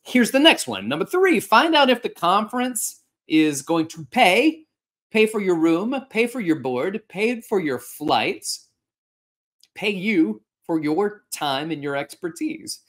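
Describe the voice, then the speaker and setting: A man in his forties hosting a fitness podcast from a home room, giving casual practical advice on a video-call style setup with lively gestures. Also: high voice, takes it slowly. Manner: animated, gesturing, with frequent restarts. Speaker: podcast host and fitness instructor. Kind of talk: casual instructional talk. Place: home room with a couch and art.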